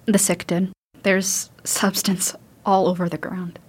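A woman's voice: lowish voice, flat delivery, slow pace, breathy edge. A woman playing a watchful survivor daughter in a post-apocalyptic audio drama. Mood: uneasy. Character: watchful daughter surviving alongside her father.

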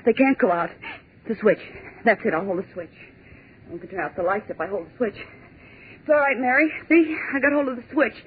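An actress playing a frightened young woman trying to stay calm and reasoning out loud, in 1940s radio drama style, on a tinny, scratchy old broadcast. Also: fairly high pitch, brisk, lightly acted. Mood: forced calm. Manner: tense, insistent, clinging to reason.

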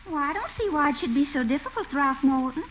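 An actress playing a coy, flirtatious Southern belle neighbor with a Southern American accent in a 1940s radio comedy. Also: female voice, sits high, expressive, fast. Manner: coy, cooing, affected. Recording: muffled, faintly noisy 1940s radio recording.

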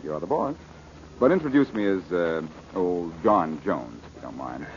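An American actor playing a wisecracking, cocky amateur detective in 1940s radio drama style, dry and flippant. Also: male voice, low-pitched, very animated, normal speed. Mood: wry, brisk. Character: wisecracking amateur detective pressed into bodyguard work. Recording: muffled, noisy old radio recording.

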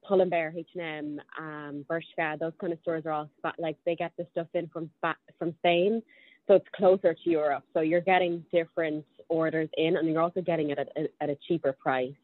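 A young woman with a Dublin Irish accent talks casually about her career, pausing often with ums and restarting her sentences. Her voice sits low, and it comes through a tinny, phone-like call. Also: steady tone, no room sound. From Ireland. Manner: hesitant, many ums and restarts. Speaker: podcast guest. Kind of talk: casual interview chat.

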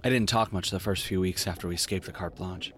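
An actor playing a world-weary, wry detective in a noir audio drama, brooding and sardonic. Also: male voice, low-pitched, steady tone, fast, a bit bright, a little hiss or hum. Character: world-weary, wry male detective narrating his story. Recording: faint music behind the voice.

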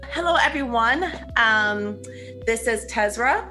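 An eager woman co-host leads the interview and heaps praise on her guest. Her voice sits a little high and sounds bright. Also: lively intonation, takes it slowly, soft background music, poor audio. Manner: enthusiastic, effusive praise. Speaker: podcast co-host.

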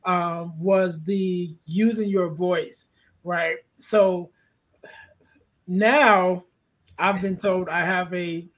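A man with a light, soft-toned voice hosting a casual podcast conversation, speaking haltingly as he searches for words and often adds "you know.". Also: high-pitched, steady tone. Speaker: podcast host.

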